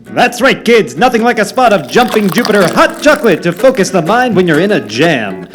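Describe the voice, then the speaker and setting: High, swooping man as a hearty radio pitchman. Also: big pitch swings, brisk, fairly bright tone, soft background music. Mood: hearty sales pitch. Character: hearty, wholesome adult male scoutmaster and radio pitchman. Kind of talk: retro radio serial acting.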